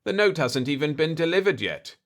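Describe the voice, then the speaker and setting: A man voicing a shrewd, calm space diplomat with a dry, assured manner in a sci-fi audiobook. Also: fairly high pitch, a little fast, voice pushed up.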